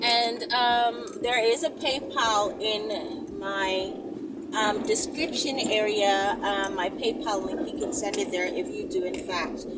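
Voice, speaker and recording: A woman's voice: mid-range voice, slow pace. A woman hosting her own video channel, chatting casually and emphatically to her viewers and often checking in with them. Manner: animated, emphatic, repeatedly checking in with listeners. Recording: distant mic, loud ambient noise.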